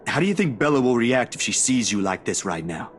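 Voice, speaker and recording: A man's voice: medium pitch, sing-song, fairly quick, a little hiss or hum, lightly acted. An actor in a story game playing a simple, caring man who talks in a plain, polite, reassuring way. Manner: plain-spoken, reassuring, informal. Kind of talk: dramatic game dialogue.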